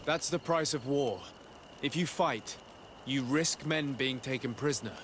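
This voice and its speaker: A man playing a calm, courteous noble envoy in a video game, speaking in a measured, formal, conciliatory way. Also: medium pitch, slight background noise, close to an everyday voice. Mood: reasoning, concerned. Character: calm diplomatic male legate, courteous young noble envoy. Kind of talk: scripted video game cutscene dialogue.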